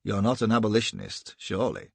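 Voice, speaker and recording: A man voicing a cold, menacing middle-aged slave-ship captain in an audiobook, quietly threatening and commanding. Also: lowish voice, quick pace, gravelly, husky, no echo. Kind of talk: audiobook character voice.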